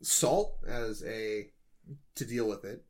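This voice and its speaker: A man co-hosting a podcast in casual, comedic banter, thinking aloud in a halting, playful way. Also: fairly low pitch, animated, darkish tone. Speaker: podcast co-host.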